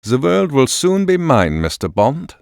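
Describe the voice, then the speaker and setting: An actor playing a grandiose, menacing megalomaniac villain in a video game, pompous and gloating. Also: male voice, medium pitch, big pitch swings, unhurried, vocal fry, smooth, no echo, clean studio sound. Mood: gloating menace.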